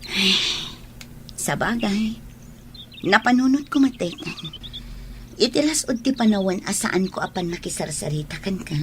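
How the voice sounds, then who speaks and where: Actress as reserved female love interest for radio drama: mid-range, hesitant, soft-hearted, slight background noise. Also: mid-range voice, expressive, a little slow, pauses often, close to an everyday voice. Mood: yielding, tender. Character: reserved young woman Rose, reluctant sweetheart.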